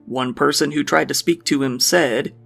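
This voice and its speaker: A man giving a scripted true crime narration in an even, measured reading. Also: medium pitch, vocal fry, faint music behind.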